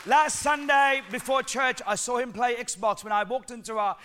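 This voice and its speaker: A man with a Sri Lankan accent mixed with New Zealand, preaching a lively, joking sermon in church and calling on the congregation to respond. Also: extremely high pitch, moderate pace, some chatter behind, a little hiss or hum. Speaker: guest preacher.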